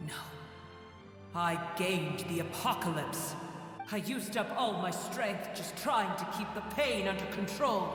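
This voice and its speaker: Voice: medium pitch, a bit bright, lightly acted. Brief: actor as an ancient wounded dragon, scornful and solemn, in a huge echoing cavern. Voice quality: slow, grave and solemn. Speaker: game character. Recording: heavy cavernous echo with long reverb.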